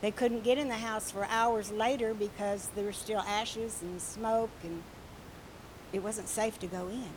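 A woman teaching a children's church lesson with a raspy voice, telling a story expressively with questions to the children. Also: medium pitch, fairly even intonation, a little slow, background noise.

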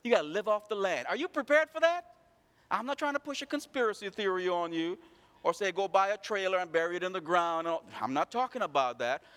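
A man's voice: sits high, lively intonation, a little fast, fairly bright tone, clear, resonant tone, in a large hall. A man preaching a sermon, calling out his congregation with rhetorical questions. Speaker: pastor.

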